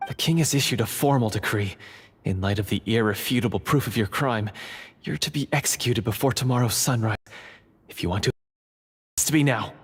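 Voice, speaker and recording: A man playing an earnest undercover dungeon guard in a fantasy video game, speaking gravely, formally and urgently. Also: on the low side, a little fast. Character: earnest undercover male dungeon guard, secret monster hunter. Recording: long reverb, faint noise.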